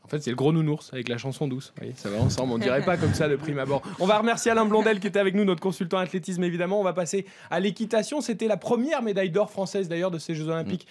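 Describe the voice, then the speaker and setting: A man hosting a radio sports discussion in a studio, introducing guests and moving between topics briskly. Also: high-pitched. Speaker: host. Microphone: studio microphone.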